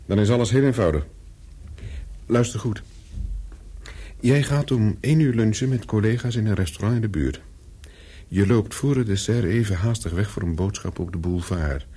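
An actor playing a calculating, persuasive young spy in a radio play, with terse, controlled speech and a husky voice. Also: male voice, fairly low pitch, normal speed, pauses often, mellow. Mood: brisk, instructing. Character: calculating young male spy, persuasive, looking back as narrator. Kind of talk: radio play dialogue and first-person narration.

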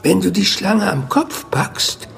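An actor playing a sly older Chinese-Cuban man who speaks in cryptic, deliberate proverbs in a radio play. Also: sits high, big pitch swings, gravelly, fairly bright tone, faint music behind, exaggerated voice. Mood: sly, cautioning. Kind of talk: German radio drama acting.

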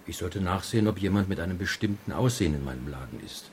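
An actor playing a reserved drugstore owner who answers questions tersely and deliberately in a radio crime drama. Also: male voice, deep voice, expressive, darkish tone, slight background noise. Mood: reserved, matter-of-fact. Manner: laconic, deliberate.

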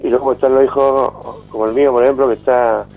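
A man in his fifties with an accent from Spain, giving an informal interview as an activist guest, halting and fond of tag questions. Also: medium pitch, level tone. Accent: Spanish from Spain. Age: 50s. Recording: dull band-limited call with music behind.

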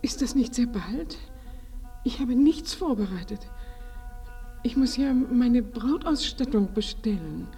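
Bashful, creaky-voiced bride-to-be. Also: female voice, on the high side, expressive, slow pace, dark tone, faint music behind, full character voice. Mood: flustered, bashful. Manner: breathy, hesitant, often dropping to whispers. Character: bashful, flustered village bride-to-be, humbly grateful and devout. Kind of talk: radio play acting.

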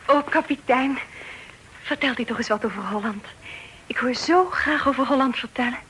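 A woman's voice: high voice, normal speed, fairly bright tone. An actress in a Dutch radio drama playing a pirate's sweet young daughter, earnest, girlish and breathlessly pleading. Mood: earnest, curious. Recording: noisy old radio recording.

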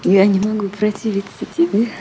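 A woman's voice: medium pitch, fairly even intonation, a little fast, mellow, slight background noise, natural voice. A woman acting a tender, fragile married woman suffering from love, with emotional delivery in a Russian radio drama. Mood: anguished, tender.

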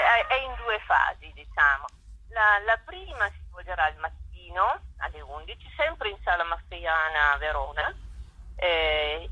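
A woman explaining things as an interview guest over a telephone, with hesitant pauses. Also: medium pitch, sharp tone, breathy edge, dry recording. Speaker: poetry academy president, interview guest. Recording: dull telephone line.

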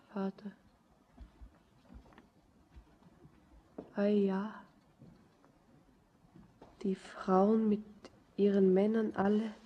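Anna, slow, hushed grieving young bride. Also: female voice, medium pitch. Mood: broken, hushed. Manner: slow, frail, often breathy and whispering. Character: grief-stricken, emotionally numbed young bride, Anna. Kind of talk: poetic German radio drama.

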